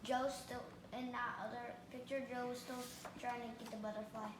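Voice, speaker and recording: A curious listener asking questions about the story, saying "like" often. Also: female voice, on the high side, level tone, normal speed. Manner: says "like" often. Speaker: young listener. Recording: distant, very echoey with noise.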